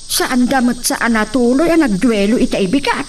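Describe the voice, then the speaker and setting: A woman's voice: fairly high pitch, expressive, normal speed, far from the mic, a little hiss or hum, lightly acted. An actor playing a timid, deferential young servant girl in a radio drama. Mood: pleading. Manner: hesitant, deferential.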